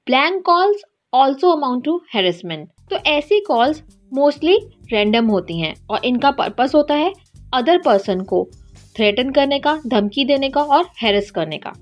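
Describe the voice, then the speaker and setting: A woman with an Indian accent giving an explanatory legal lesson in a deliberate, instructional manner, switching between two languages. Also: high voice, very animated. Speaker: legal educator, advocate. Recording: dull lo-fi audio with background music. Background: background music.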